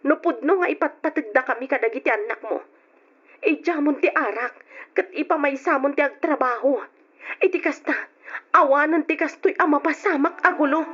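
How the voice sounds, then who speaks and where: A woman's voice: sits high, fairly bright tone. A young woman with an Ilocano accent playing a long-suffering daughter and wife in an emotional, pleading radio drama style. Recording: dull lo-fi radio drama recording.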